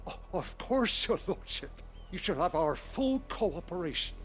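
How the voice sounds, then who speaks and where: A man's voice: high-pitched, sing-song, unhurried, a bit bright, soft background music, full character voice. An actor playing an anxious, officious village headman in a video game, with formal, emphatic delivery. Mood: servile. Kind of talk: scripted video game acting.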